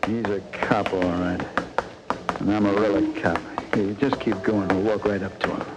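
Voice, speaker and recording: An actor playing a vengeful outlaw and cop killer in a 1940s radio drama, talking in tough gangster slang. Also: male voice, fairly low pitch, little pitch movement, normal speed, loud music behind the voice, lightly acted, low-quality recording. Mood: hateful.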